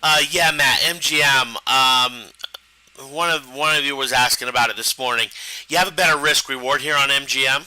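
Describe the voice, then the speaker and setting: A man with a rough, hoarse voice hosting a live stock trading stream, talking in a halting way with many fillers and restarts. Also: medium pitch, fairly even intonation, sharp tone, no echo, rough recording. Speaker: stock trading show host. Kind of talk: live market commentary.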